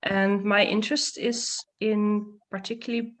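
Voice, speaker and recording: A woman introduces herself as a nurse over a video call. She speaks plainly at a middle pitch, with the occasional filler. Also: level tone, measured pace, slight background noise. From Netherlands. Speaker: ICU nurse.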